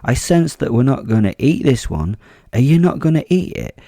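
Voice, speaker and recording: A man's voice: mid-range voice, big pitch swings, normal speed, gravelly, warm tone, dry recording, clean studio sound, pitched above the performer's own voice. A man voicing a huge, crude, mocking male wolf spirit in an audio drama.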